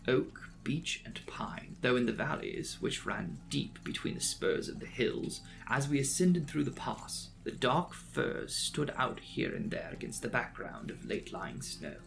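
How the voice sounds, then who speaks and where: A man's voice: medium pitch, lively intonation, measured pace, creaky, few pauses, a bit bright, low-quality recording. A man giving a dramatic, theatrical audiobook reading of a classic gothic novel, telling it as a storyteller. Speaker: audiobook narrator. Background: background music.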